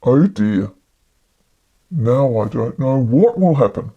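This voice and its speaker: A woman voicing a calm, commanding male magician in a storybook, speaking in a deliberate, reassuring way. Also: very deep voice, slightly rough, muffled recording, voice pushed down, heavily acted. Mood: amused, concerned.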